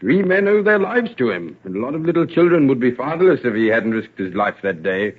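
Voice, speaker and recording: An actor playing a proud, brooding older husband and father in a vintage radio drama, husky and grave. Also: medium pitch, very animated, fairly quick. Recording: phone-like old radio recording.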